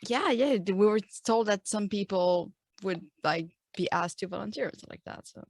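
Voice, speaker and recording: A woman playing a tabletop game, casually and haltingly thinking aloud as she discusses what to do. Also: mid-range voice, darkish tone, no echo.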